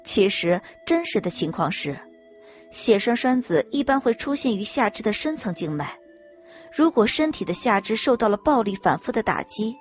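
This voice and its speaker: A woman reading a scripted story as a dramatic audiobook narrator. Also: mid-range voice. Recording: music bed under narration.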